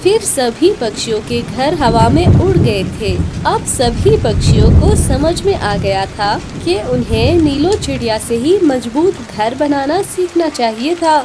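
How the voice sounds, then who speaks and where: A woman's voice: high-pitched, animated, unhurried, few pauses, noisy background. A woman reading scripted narration for a children's cartoon story.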